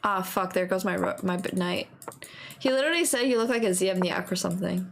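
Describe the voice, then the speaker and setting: A woman's voice: on the low side, brisk, creaky, slight background noise. A woman chatting casually on a stream while playing, playful, slangy and self-deprecating. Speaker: live streamer.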